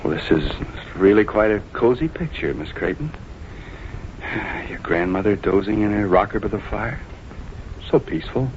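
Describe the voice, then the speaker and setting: He is a menacing fugitive played by a man from a 1940s radio crime play. His voice sits very low and stays courteous while it threatens. The old recording is dull, with a clear layer of noise. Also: normal speed, natural voice. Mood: silky menace. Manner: polite, genteel, calmly threatening. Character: soft-spoken, courteous, menacing fugitive male killer.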